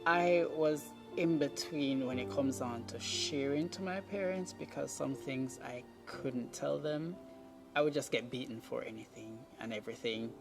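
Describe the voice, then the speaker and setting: A Jamaican-accented speaker tells a personal story at a slow pace. The voice sits at a middling pitch and moves in short, deliberate phrases. Music plays clearly underneath. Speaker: documentary subject telling own story.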